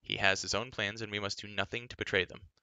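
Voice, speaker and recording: A man's voice: lowish voice, flat delivery, quick pace, pushed and bright, clear, resonant tone, no echo. A man doing an earnest young hero.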